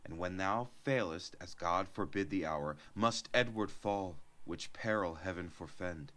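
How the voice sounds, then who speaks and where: An actor playing a devout lord bound for war in a Shakespearean audio drama, solemn and declamatory. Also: male voice, sits low, a little slow, fairly bright tone. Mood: solemn trust.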